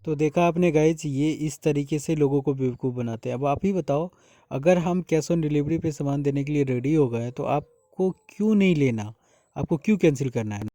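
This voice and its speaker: A man's voice: fairly high pitch, normal speed, warm tone, dry recording, clean studio sound. An Indian man explaining informally to his viewers, speaking earnestly and repeating his points as a vlogger. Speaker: vlogger exposing a scam.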